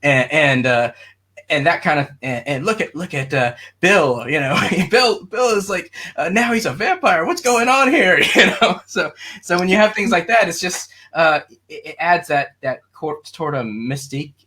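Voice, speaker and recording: A man's voice: a little high, expressive, normal speed, pushed and bright. A man talking casually as a panelist, informal and rambling in his delivery.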